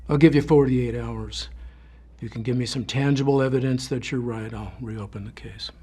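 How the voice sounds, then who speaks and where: An actor playing a gruff, skeptical bureau boss in an audio drama, with a husky voice and brusque, authoritative manner. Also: male voice, mid-range voice, steady tone, normal speed, dark tone, lightly acted. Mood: grudging.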